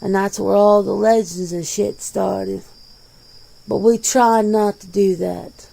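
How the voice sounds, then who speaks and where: Woman playing a mellow, creaky, laid-back werewolf. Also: medium pitch, fairly even intonation, slow pace, heavily acted, rough recording. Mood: matter-of-fact. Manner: blunt, casual, swearing, conversational. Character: jaded, defensive werewolf in their forties, plain-spoken. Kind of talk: ASMR roleplay of an interview.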